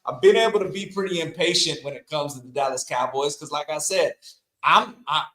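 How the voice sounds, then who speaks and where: A man from the Midwest co-hosting a casual live stream, profane and excitable, his voice hoarse with a cough. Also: on the high side, fairly quick, echoey room. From American Midwest. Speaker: co-host.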